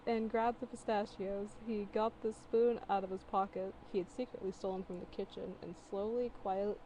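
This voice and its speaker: A woman's voice: mid-range voice, fairly even intonation, normal speed, dark tone, slight background noise, poor audio. A woman doing a casual dramatic reading of fan fiction, giggly and incredulous, breaking off for mocking asides.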